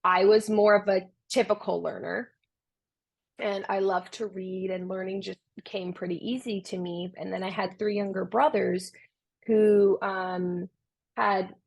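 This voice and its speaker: A woman's voice: fairly low pitch, vocal fry. A young adult woman from Romania, a tutoring business founder, answering interview questions in an earnest way with many restarts. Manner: earnest, with frequent restarts and fillers.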